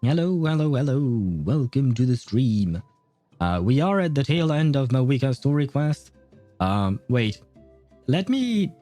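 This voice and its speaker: A man livestreaming a video game, chatting casually with viewers in a rambling, halting way. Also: medium pitch, big pitch swings, warm tone, faint music behind. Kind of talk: casual livestream chat and commentary.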